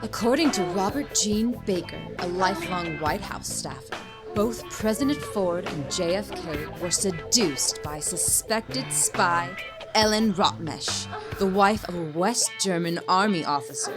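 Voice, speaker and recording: A woman playing a highly educated, seductive porn star reciting scripted lines in a comedy sketch. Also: expressive, a little slow. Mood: poised, coy. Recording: loud music under the voice.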